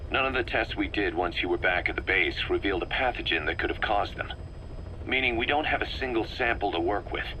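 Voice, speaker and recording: A man playing an analytical, wary military second-in-command in a video game, explaining things in a measured, methodical way. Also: on the low side, sharp tone, slight background noise, low-quality recording. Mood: grave, concerned. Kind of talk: scripted video game cutscene dialogue.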